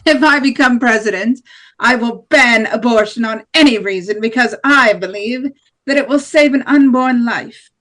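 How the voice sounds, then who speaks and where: Strident nationalist woman candidate, read by an actress, higher-pitched, declaiming campaign promises in a table read. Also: normal speed, a bit bright, natural voice, poor audio. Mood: strident, assertive. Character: hardline far-right female presidential candidate, strident nationalist. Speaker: actor in an amateur table read. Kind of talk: campaign speech read from script.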